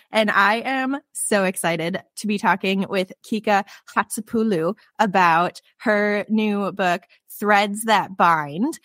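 A woman hosting a book podcast, bubbly and effusive, with lots of "like" and quick restarts. Her pitch sits in the middle range. The sound is close and dry with no room. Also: fairly bright tone, no echo. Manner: gushing and enthusiastic, heavy "like" fillers. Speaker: podcast host. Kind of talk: podcast interview.

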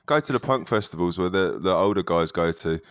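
A man co-presents a football podcast in a very low, smooth, resonant voice. His manner is dry and wry. The sound is close and dry. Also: lively intonation, a little fast, dry recording. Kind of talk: casual football podcast chat.

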